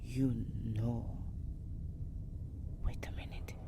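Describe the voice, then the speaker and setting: Actress as nervous, lovesick vampire servant girl. Low register, flustered ASMR delivery, audible ambient noise. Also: flat delivery, extremely slow, exaggerated voice. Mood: pleading. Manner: stammering, flustered, pleading. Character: anxious, lovesick six-hundred-year-old vampire girl, servile to her mistress. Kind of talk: ASMR roleplay acting. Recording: clear background ambient noise.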